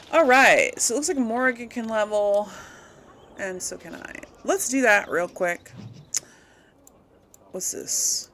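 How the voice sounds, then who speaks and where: A woman's voice: fairly high pitch, slow pace, long pauses, a bit bright, a little hiss or hum. A woman hosting a casual let's play, musing and thinking aloud as she goes. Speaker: gaming video host. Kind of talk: casual let's play commentary, some role-played replies.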